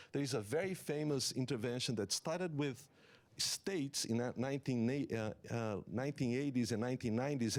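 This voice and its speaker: Man, English, conference keynote, explanatory, halting restarts. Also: mid-range voice, a little mellow. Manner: earnest, explanatory, with many fillers and restarts. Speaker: economist giving a summit talk.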